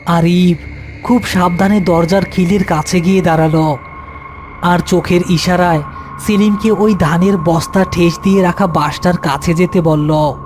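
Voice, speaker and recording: High-pitched man narrating a horror story. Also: steady tone, unhurried, a little mellow, soft background music.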